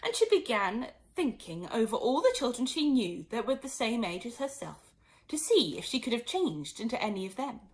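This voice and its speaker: A woman reads a children's story aloud. Her voice is high and moves widely in pitch, giving the narration an expressive storybook feel. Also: normal speed. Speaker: storybook reader.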